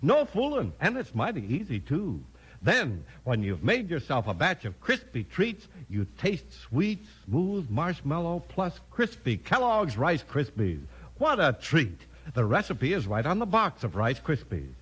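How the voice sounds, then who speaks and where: A man's voice: on the high side, huge pitch swings, unhurried, a bit bright, husky, low-quality recording. A man with an American accent, announcing in a dramatic, emphatic 1950s radio style. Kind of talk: 1950s radio announcing, scripted narration and advertising. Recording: vintage 1950s radio broadcast. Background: music.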